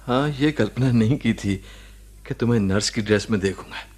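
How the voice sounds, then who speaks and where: A man acting an ailing married former boss in a radio play, defensive and earnest, speaking haltingly to justify himself. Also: mid-range voice, darkish tone, lightly acted. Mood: uneasy. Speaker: radio drama actor.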